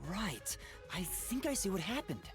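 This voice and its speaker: A woman's voice: medium pitch, very animated, unhurried, music in the background. A woman voicing an earnest, anxious teenage boy detective who explains carefully, in an anime-style video game. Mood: earnest, reasoning.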